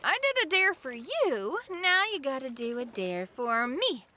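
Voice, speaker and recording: An actress as a daring, playful young woman in an audio drama. Her voice is high and very lively, swinging with excitement. She teases freely and sounds bubbly and bright. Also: a little slow, slight background noise, exaggerated voice. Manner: teasing, excitable.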